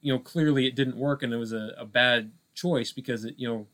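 Male podcast co-host, mid-pitched, casual and conversational, halting delivery full of verbal tics. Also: steady tone, a bit bright. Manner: halting, heavy use of "you know" and "like". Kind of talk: casual film discussion.

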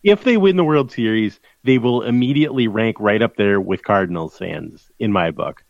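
A man's voice: lowish voice, normal speed, vocal fry, no room sound. A man co-hosting a sports podcast, ranting in casual chat. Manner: animated, ranting. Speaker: podcast co-host. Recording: dull, dry lo-fi audio.

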